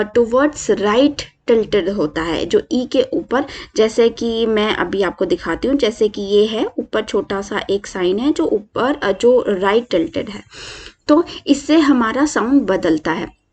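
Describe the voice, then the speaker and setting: A young woman teaches an online language lesson, explaining slowly and switching between Hindi and English with frequent fillers. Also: fairly high pitch, lively intonation, a little mellow, slightly rough.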